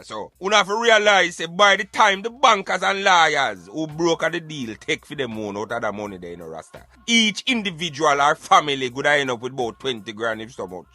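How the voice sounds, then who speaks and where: A man with a Jamaican accent giving emphatic, argumentative, streetwise opinions in animated radio banter. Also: a little high, no echo. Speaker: radio show host.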